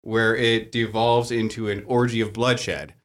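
A man's voice: fairly low pitch, little pitch movement, unhurried. A man in casual podcast chat, explaining how he runs live-action games with dry humor. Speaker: larp game master.

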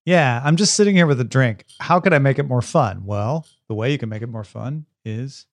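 A man's voice: medium pitch, animated, a little fast, no echo. A man co-hosting a podcast in casual, playful, bantering chat. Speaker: podcast co-host.

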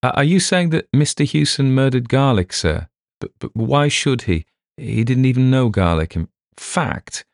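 A man's voice: medium pitch, sing-song, normal speed, creaky, no room sound, clean studio sound, pitched above the performer's own voice. A man voicing a polite, long-suffering young police sergeant who speaks carefully. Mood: anxious.

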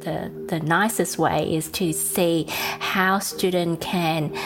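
A woman teacher speaking earnestly in an interview clip, pausing and restarting as she searches for words. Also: fairly low pitch, fairly even intonation, over music. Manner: earnest, slightly halting.

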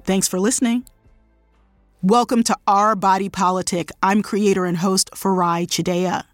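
A woman's voice: medium pitch, fairly even intonation, moderate pace, dry recording, clean studio sound, soft background music. A woman hosting an interview podcast, talking in an affirming, conversational way. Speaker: podcast host.

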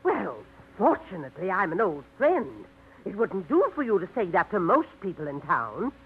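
Fussy prim small-town wife, swoopy pitch, old radio play. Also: female voice, sing-song, normal speed, a little hiss or hum. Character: prim gossipy middle-aged woman librarian's wife. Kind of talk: 1950s radio acting. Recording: tinny old radio broadcast.

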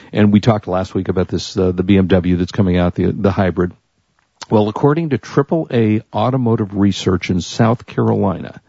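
A man's voice: fairly low pitch, creaky, warm tone, husky, dry recording. An American man hosting a talk show, chatting casually about news in a rambling, conversational way. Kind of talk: casual tech and consumer news chat. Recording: dull lo-fi audio.

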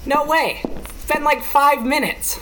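A man's voice: very high-pitched, fairly even intonation, measured pace, bright, forceful tone, slight background noise, full character voice, low-quality recording. A man playing a businessman's easygoing, teasing travel companion in an amateur audio drama. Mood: teasing, casual.

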